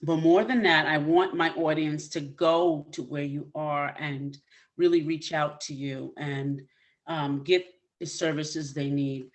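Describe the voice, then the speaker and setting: A woman's voice: deep voice, steady tone, a little slow. Emphatic woman podcast host. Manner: enthusiastic, emphatic urging of listeners. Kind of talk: interview podcast.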